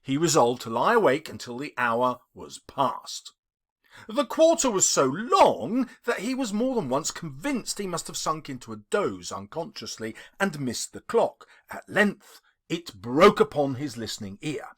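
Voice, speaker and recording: A man's voice: on the high side, sing-song, unhurried, a bit bright. A man reading a literary story as dramatic narration, theatrical and emphatic in delivery. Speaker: audiobook reader, teacher.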